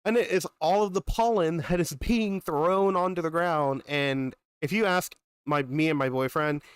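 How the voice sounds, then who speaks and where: A young man streaming games talks in a loose, rambling way and greets people in chat by name. He sounds congested from a cold, yet his voice stays smooth and even. The recording is close and dry, with no room sound. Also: fairly high pitch, moderate pace, no room sound. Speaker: gaming streamer. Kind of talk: casual live-stream chat.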